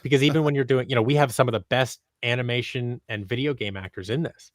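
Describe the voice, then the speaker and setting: Man, thirties, mid pitch, dry close mic, halting and rambling, conversational podcast guest. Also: no echo. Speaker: podcast guest, audio drama creator. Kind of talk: conversational interview answers. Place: small home office, indoors. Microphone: close boom-arm microphone on a video call.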